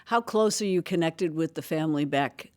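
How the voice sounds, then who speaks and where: Interviewer asking short curious questions, dry humor, calm middle voice. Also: mid-range voice, normal speed.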